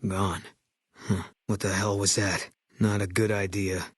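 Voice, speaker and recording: Terse, husky low-voiced brooding sniper. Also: male voice, a little slow, dry recording, clean studio sound. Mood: terse, guarded. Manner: clipped, deadpan, guarded. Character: terse, brooding male military sniper companion. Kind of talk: video game character voice lines.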